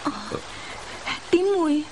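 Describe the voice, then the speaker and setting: An actress playing a gentle young courtesan of old China in a Cantonese radio drama. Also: female voice, slow pace, slight background noise, lightly acted, poor audio. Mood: soft, puzzled.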